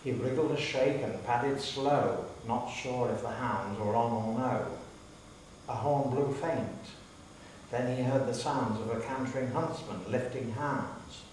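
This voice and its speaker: A man's voice: mid-range voice, measured pace, darkish tone. A man giving a dramatic recital of narrative poetry in a hall. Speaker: poetry reader. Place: public hall. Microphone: distant microphone. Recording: very echoey hall, distant mic, faint hiss.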